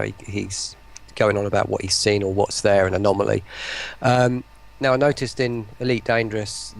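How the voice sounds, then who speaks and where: A man speaking as an interview guest on an online call, explaining things casually in a rambling, halting way. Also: lowish voice, gravelly. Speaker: interview guest, voice pack developer. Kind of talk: casual chat, explaining a product.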